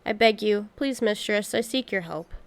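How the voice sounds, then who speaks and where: Woman voicing a pleading, gentle monster musician. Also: medium pitch, moderate pace, lightly acted. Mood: sorrowful, pleading.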